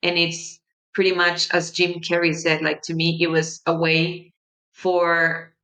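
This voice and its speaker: A young woman from Mexico, a native Spanish speaker with a Mexican Spanish accent, hosting her podcast in a gentle, reflective monologue with soft pauses. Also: on the low side, completely flat delivery, low-quality recording. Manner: gentle, warm-hearted, unhurried with soft pauses. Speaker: podcast host.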